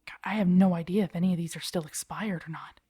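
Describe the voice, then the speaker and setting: A woman playing a protective, guarded young survivor of a zombie apocalypse in an intimate audio roleplay, with hushed, urgent, halting delivery. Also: on the low side, steady tone, husky, no echo, clean studio sound. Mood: hushed awe.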